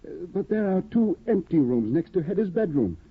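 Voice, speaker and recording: Guy playing a fussy eager scholar husband, kinda high and bouncy, husky, tinny old radio. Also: sing-song, fairly quick, full character voice. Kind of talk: 1950s radio drama acting.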